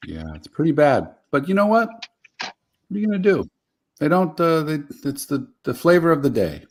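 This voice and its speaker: A man's voice: on the high side, very animated, moderate pace, a little hiss or hum. A man in his fifties on a livestream panel, teasing and laughing easily in casual chat. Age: 50s.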